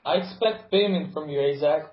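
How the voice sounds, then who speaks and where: Joking man, slightly high voice, teasing banter, muffled lo-fi recording. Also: a little fast. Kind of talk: casual chat among friends. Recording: muffled lo-fi sound.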